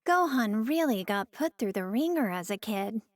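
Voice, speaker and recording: Woman voicing a high, spirited young anime heroine. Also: lively intonation, moderate pace, no echo, lightly acted. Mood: sympathetic. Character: spirited, admiring young woman, a fighter's daughter. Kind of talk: animated game character dialogue.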